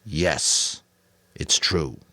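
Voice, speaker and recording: A man's voice: extremely low pitch, lively intonation, sharp tone, no room sound, clean studio sound, heavily acted. A man voicing a grave, weary old mentor, firm but kind, in a dramatic audiobook. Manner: firm, solemn, deliberate. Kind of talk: dramatic audiobook character reading.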